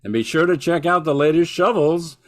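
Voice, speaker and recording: An older man serves as narrator of a vintage-style radio play. His voice sits a little high for a man, and he reads in a scripted announcer style. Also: a little fast.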